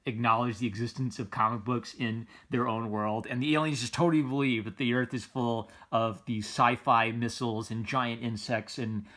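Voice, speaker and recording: A man's voice: mid-range voice, fairly even intonation, normal speed, few pauses, a bit bright. A man giving casual, unscripted commentary as a comic book reviewer, enthusiastic and rambling, often circling back on his phrases.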